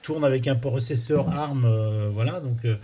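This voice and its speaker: A man's voice: medium pitch, moderate pace, mellow, breathy edge. A man doing a casual technical interview, explaining and recapping as he goes. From France. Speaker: interviewer, amateur radio tutorial host. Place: indoors at a radio amateur's home.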